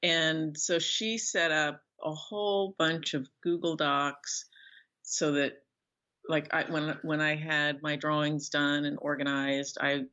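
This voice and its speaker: A woman's voice: sits low, a bit bright. A middle-aged woman speaking conversationally as an author being interviewed, on a slightly dull online-call recording. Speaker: guest author.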